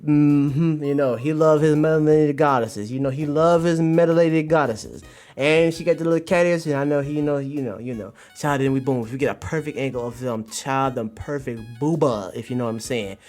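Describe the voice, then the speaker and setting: A man's voice: mid-range voice, brisk, darkish tone. A man hosting a livestream, giving slangy, exclamatory commentary to his chat and repeating phrases in bursts. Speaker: livestream host reviewing manga. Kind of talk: animated commentary to a live chat. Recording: music behind the voice. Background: music.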